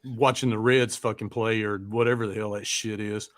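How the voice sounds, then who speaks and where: A man's voice: fairly low pitch, flat delivery, normal speed, a bit bright. A man hosting a casual live chat in a rambling, joking manner with plenty of swearing. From American South. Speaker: podcast host.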